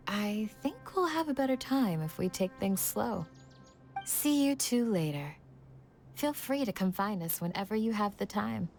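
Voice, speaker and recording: A gentle guardian in an anime game. She is calm, gracious and modest, with a medium-pitched voice over faint music. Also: measured pace, vocal fry. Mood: gentle, composed. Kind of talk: anime video game dialogue.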